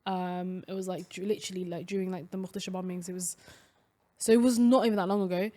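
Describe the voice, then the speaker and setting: A woman's voice: lowish voice, fairly even intonation, fast talker, mellow. A young woman from Somalia co-hosting a casual podcast chat, talking in a chatty way with many fillers and restarts. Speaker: podcast co-host.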